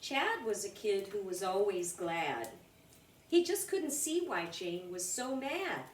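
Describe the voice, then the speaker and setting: A woman reading a rhyming children's picture book aloud in an expressive, deliberate way for young listeners. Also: mid-range voice. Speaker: storybook reader. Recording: roomy, distant mic with background noise.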